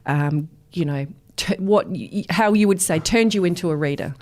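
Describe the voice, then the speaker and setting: A woman with a slightly low voice hosts a podcast interview. She introduces and questions her guest in a conversational way. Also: moderate pace, a little mellow.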